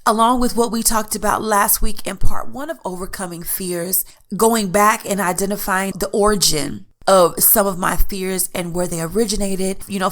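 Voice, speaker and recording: A woman's voice: medium pitch, fairly bright tone. A woman hosting a solo podcast in a chatty, earnest way, her voice stuffed up from allergies. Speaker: podcast host. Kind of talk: casual solo podcast talk.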